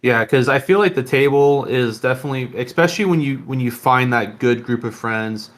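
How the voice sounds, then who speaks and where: A man co-hosting a podcast, talking casually in an earnest, halting, reflective way. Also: mid-range voice. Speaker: podcast co-host.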